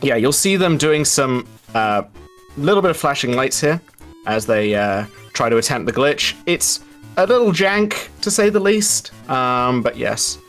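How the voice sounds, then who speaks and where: A man's voice: medium pitch, expressive, unhurried, a bit bright, soft background music. A man giving casual, explanatory live speedrun commentary in a chatty, wry, informal way.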